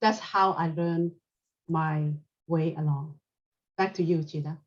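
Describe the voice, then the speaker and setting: A woman whose first language is Chinese speaks in an earnest, slightly halting way. Her voice comes from some distance away from the mic. The sound is dull and muffled. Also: low voice, steady tone. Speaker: panel speaker. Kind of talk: prepared talk at a club panel.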